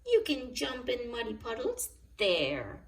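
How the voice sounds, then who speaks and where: Storybook mother pig, voiced by a woman reader: calm, sleepy, kindly firm, unrushed. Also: fairly high pitch, slow, vocal fry, voice pushed up, full character voice.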